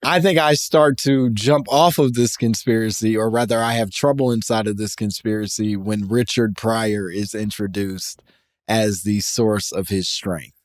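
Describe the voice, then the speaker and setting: A man co-hosting a comedy podcast, chatting casually with profane asides, frequent laughs and halting fillers. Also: fairly low pitch, fairly even intonation, no echo, studio quality. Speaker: podcast co-host.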